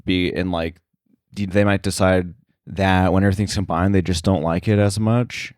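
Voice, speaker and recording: A man's voice: low voice, fairly even intonation, normal speed, darkish tone, no room sound, studio quality. A man speaking casually as a podcast guest, a sound effects editor with a self-deprecating, chuckling way of talking. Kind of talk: casual interview conversation.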